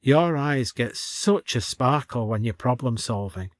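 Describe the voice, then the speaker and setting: A man's voice: mid-range voice, animated, measured pace, no room sound, clean studio sound, lightly acted. A man voicing a good-natured, impulsive garden centre man with casual salesman's patter in an audiobook. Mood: affable.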